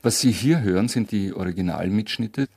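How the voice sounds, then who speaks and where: A man's voice: lowish voice, moderate pace, gravelly, a little mellow, husky. A man presenting on radio in scripted narration.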